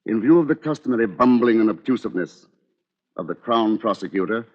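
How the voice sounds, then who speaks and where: Arrogant english solicitor villain, deep formal voice, 1940s radio drama, muffled old recording. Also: male voice, animated, a little fast. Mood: cold, proud. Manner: formal, articulate, sardonic. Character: coldly arrogant English solicitor confessing to murder. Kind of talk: 1940s radio thriller acting. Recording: dull vintage radio recording.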